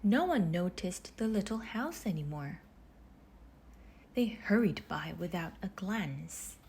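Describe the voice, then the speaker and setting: A woman reading a children's storybook aloud in measured phrases with deliberate pauses, her husky voice turning breathy as phrases end. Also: lowish voice, lively intonation, a little mellow, a little hiss or hum. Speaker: storybook reader. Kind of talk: children's read-aloud narration.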